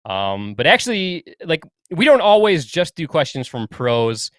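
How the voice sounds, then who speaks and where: A man co-hosting a podcast in casual chat, chatty and quick to laugh. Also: a little high, very animated, fairly bright tone, dry recording. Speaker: podcast co-host.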